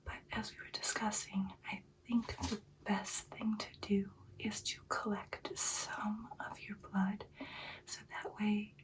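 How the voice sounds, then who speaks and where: A woman playing an affectionate, caring vampire girlfriend in a whispered ASMR roleplay, speaking softly and reassuringly, slightly off the mic. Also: medium pitch, little pitch movement, slow pace, recorded from a distance, lightly acted, low-quality recording. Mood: gentle, explaining. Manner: soft, soothing, reassuring personal attention.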